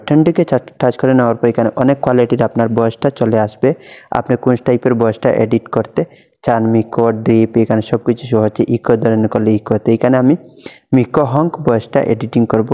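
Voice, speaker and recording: A young man walking viewers through an app tutorial step by step, repeating each action as he goes. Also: mid-range voice. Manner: steady step-by-step instructions, much repetition. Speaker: tutorial host. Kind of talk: screen-recorded app tutorial.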